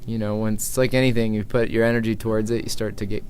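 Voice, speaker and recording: Male interview guest, early thirties, American, low pitch, loose rambling conversational delivery, faint background noise. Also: little pitch movement, quick pace, darkish tone. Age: early 30s. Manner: informal, meandering, thinking aloud. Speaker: interview guest, traveling musician and activist.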